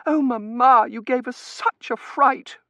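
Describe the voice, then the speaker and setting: A man's voice: very high voice, a little slow, bright, forceful tone, dry recording, voice pushed up, heavily acted. A man voicing an anxious, girlish young daughter in an audiobook, fussing and exclaiming breathlessly.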